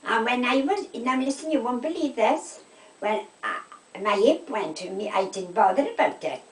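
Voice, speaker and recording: A woman's voice: fairly high pitch, expressive, moderate pace. A woman in her eighties with a South Wales Valleys accent, chatting and telling anecdotes emphatically, acting out what people said. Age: 80s. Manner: chatty, emphatic, repeats reported conversations with "I said". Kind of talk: casual chat, anecdotal storytelling. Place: indoors at a home. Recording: roomy, distant home recording.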